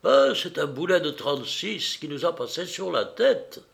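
A man's voice: on the high side, very animated, moderate pace, vocal fry, fairly bright tone, pitched above the performer's own voice, heavily acted. A man voicing a cheerful, unflappable veteran helmsman in an audiobook, casual and amused by danger. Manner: casual, jocular. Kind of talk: audiobook character voice.

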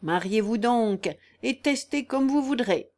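A woman voicing a male village priest in a story, fair-minded and speaking with firm, measured authority. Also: on the high side, expressive, darkish tone, voice pushed up. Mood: dismissive.

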